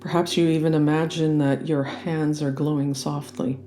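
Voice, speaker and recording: A meditation guide leading a guided relaxation in a gentle, soothing and unhurried manner. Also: mid-range voice, little pitch movement, a little hiss or hum. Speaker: yoga nidra meditation guide.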